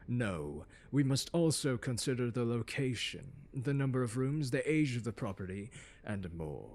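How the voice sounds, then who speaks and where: A man acting as a haughty male genius scholar in an ASMR roleplay. His voice is fairly low and husky. He lectures his pupil with deliberate, articulate diction and open, mocking condescension. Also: heavily acted. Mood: disdainful. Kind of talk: ASMR roleplay monologue, second-person lecture.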